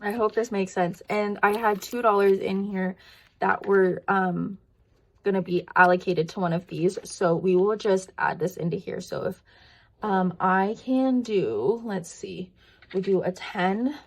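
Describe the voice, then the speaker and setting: Chatty woman with a croaky, creaky voice. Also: mid-range voice, fairly even intonation, measured pace. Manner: rambling, thinking aloud, counting to herself. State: voice tiring after long filming. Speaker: budgeting vlogger. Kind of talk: casual chatty hands-on narration.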